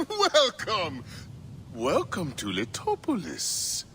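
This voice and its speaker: An actor playing a grandiose, zealous town builder of ancient Egypt in a video game, proclaiming in a theatrical, expansive way. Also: male voice, sits high, wildly swooping pitch, slow pace, fairly bright tone, a little hiss or hum, exaggerated voice. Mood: effusive, welcoming. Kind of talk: video game drama acting.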